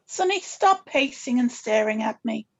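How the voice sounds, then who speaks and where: A woman's voice: fairly high pitch, expressive, moderate pace, highs cut off. A woman playing a stern, evasive old witch grandmother in a drama script table read. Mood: brisk, impatient.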